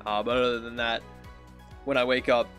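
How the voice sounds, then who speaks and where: A young man with a deep, raspy morning voice, chatting casually as a game streamer into a close studio mic in a small home room, rambling and correcting himself as he goes. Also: level tone, normal speed, fairly bright tone, faint music behind. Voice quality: deep and raspy after waking. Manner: rambling, self-correcting, friendly. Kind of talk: casual gaming vlog talk. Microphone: studio mic on boom with pop filter, headphones.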